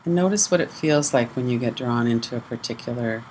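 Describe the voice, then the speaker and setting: A person leading a guided mindfulness meditation in measured short phrases with pauses between them. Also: mid-range voice, a little mellow, slight background noise, rough recording. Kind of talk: guided mindfulness meditation, slow gentle instruction.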